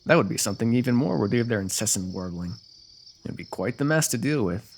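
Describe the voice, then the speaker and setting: A man's voice: medium pitch, animated, quick pace. A man playing a teasing, lovestruck royal ruler with courtly, formal phrasing in an ASMR fantasy roleplay. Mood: wry, wistful.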